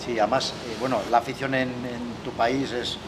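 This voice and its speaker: A man's voice: mid-range voice, little pitch movement, normal speed. A middle-aged man hosting an interview in a casual, conversational way, often ending sentences with tag questions. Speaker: interviewer, club host. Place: outdoor courtyard with artificial grass. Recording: outdoor recording with clear background noise.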